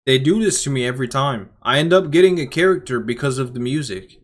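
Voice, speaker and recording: A man's voice: mid-range voice, animated, quick pace. A young man giving casual reaction commentary as a gaming creator, thinking aloud in a conversational way. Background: music.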